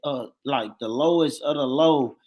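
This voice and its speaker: A man from the American South with a Southern accent, chatting on a panel in an emphatic, animated way. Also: medium pitch, measured pace, over a noisy crowd. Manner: animated, emphatic, swears freely. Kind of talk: casual panel chat.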